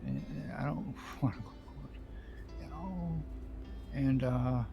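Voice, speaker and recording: A man's voice: medium pitch, slow, vocal fry, dull audio, music in the background. A man playing an anxious, hesitant criminal defendant in improvised game roleplay, stammering and repeating his words. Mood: fretful, uncertain. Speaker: roleplay streamer playing a character.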